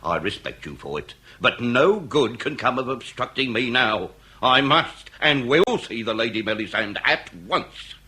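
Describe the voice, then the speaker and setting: A man's voice: medium pitch, expressive, measured pace, hardly any pauses, sharp tone, breathy edge, heavily acted. A man acting a shrewd, relentless Victorian police inspector in a period radio drama, with formal, declamatory delivery. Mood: stern, insistent. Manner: formal, declamatory, theatrical interrogating. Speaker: actor.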